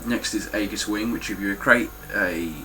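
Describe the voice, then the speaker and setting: A man's voice: fairly low pitch, normal speed, pushed and bright, slight background noise, rough recording. A man with a stuffy, nasal voice giving a casual, blunt spoken review of his game collection, with frequent ums and some swearing.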